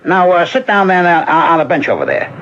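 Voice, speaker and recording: A kindly man in an old radio drama, the sound dull and lo-fi with music behind. He talks in a gentle, hesitant, coaxing way, with hugely animated intonation. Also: fairly high pitch, a little fast. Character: kindly, protective, easygoing man looking after young woman.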